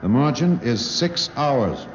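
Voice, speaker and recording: An actor playing a grave newsman narrating a 1950s radio drama in a formal, measured, solemn manner. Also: medium pitch, big pitch swings. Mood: grave, urgent. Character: grave male newsman recounting a space rescue. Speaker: narrator and network reporter. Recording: dull, noisy 1950s radio recording.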